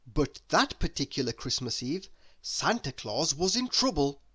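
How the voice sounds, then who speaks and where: Slow, lively male storybook reader. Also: on the high side, slightly rough. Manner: deliberate, expressive storytelling with emphasis. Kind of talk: children's story read-aloud.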